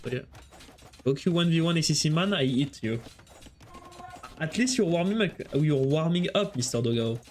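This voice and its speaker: A man's voice: on the high side, lively intonation, moderate pace, pauses often, darkish tone, slight background noise. A man with a French accent casting a strategy game on a live stream, chatting with viewers between plays. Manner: chatty, answers chat while casting.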